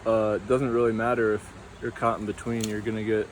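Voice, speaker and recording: Young male vlogger, flat, drifting tangents, outdoors with light traffic hum. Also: lowish voice, a little fast, rough recording. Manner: meandering, tangential, many fillers. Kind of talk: rambling casual monologue.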